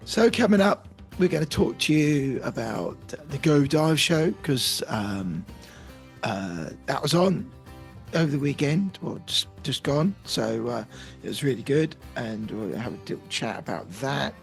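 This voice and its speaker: A man co-hosting a podcast, hoarse from a cold, talking in a jokey, self-deprecating way with plenty of restarts. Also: medium pitch, lively intonation, a little slow, soft background music. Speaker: podcast co-host. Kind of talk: casual banter.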